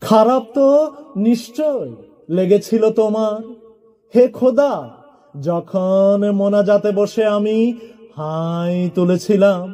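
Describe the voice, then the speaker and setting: A man with a high, mellow voice recites poetry solemnly. He moves extremely slowly, setting each phrase down deliberately. Dramatic pauses separate the measured phrases.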